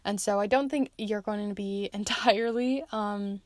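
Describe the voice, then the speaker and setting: A woman's voice: mid-range voice, steady tone, moderate pace, no room sound, clean studio sound. A woman giving a casual, chatty tarot reading to viewers in an encouraging, informal way, her voice starting to give out. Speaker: host, tarot and charm reader. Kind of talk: casual pick-a-card reading, addressing viewers.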